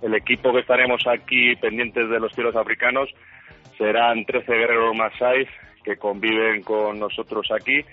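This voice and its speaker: A man who runs a safari camp, sending a brisk, matter-of-fact recorded message. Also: medium pitch, flat delivery, dry recording. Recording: tinny phone-like line with faint music.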